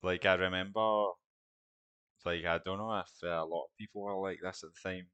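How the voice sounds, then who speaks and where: Bright deep host. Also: male voice, steady tone, moderate pace, clear, resonant tone, dry recording, dull audio. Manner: chatty, rambling questions. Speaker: interview host. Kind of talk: casual interview.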